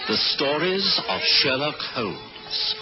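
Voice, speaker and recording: A man's voice: a little high, huge pitch swings, slow pace. A man announcing a radio drama series in a formal introductory style. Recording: muffled old radio recording. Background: loud music.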